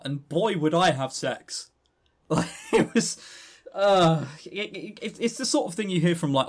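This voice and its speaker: A man with a southern English accent co-hosting a casual gaming news chat, animated, sardonic and sweary in manner. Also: mid-range voice. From southern England. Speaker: podcast co-host.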